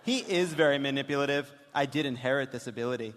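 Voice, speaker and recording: A man reading the part of a politician's candid, jaded adult daughter in a dry, deliberate comic dramatic reading on stage. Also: medium pitch, fairly bright tone, voice pushed down, close to an everyday voice. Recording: reverberant theater, some noise.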